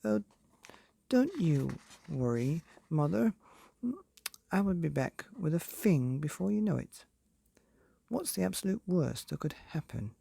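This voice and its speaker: A man's voice: fairly high pitch, big pitch swings, measured pace, vocal fry, long pauses, dark tone, slightly rough, dry recording, voice pushed up, full character voice. A man voicing a timid, mild and polite father who is trying to sound brave, hesitant and meek. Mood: falsely brave.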